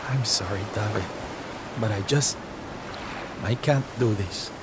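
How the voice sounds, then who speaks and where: Flamboyant, unhurried seafaring hero. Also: male voice, fairly high pitch, heavy background noise. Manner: theatrical, camp, fond endearments. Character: flamboyant, tender-hearted adult male seafaring hero. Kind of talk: video game cutscene acting.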